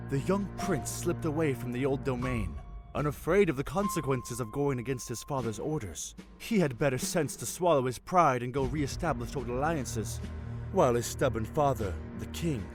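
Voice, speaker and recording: A man voicing a tall, excitable young fish-folk prince who tells tales in a grand, theatrical way, in a fan audio drama. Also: mid-range voice, close to an everyday voice. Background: music.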